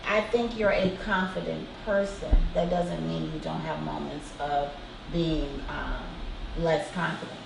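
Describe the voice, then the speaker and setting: A woman host with a very low, gravelly voice speaks encouragingly to her guest. She sounds set back from the mic in an echoey room, with noise behind her. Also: fairly even intonation, measured pace. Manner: encouraging, affirming. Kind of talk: casual chat. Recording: echoey room heard from a distance, with background noise.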